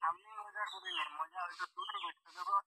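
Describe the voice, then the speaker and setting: A man's voice: very high voice, fairly even intonation, brisk, vocal fry, noisy background. A man, protesting and questioning in a casual phone conversation, heard through thin telephone audio. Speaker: prank call recipient.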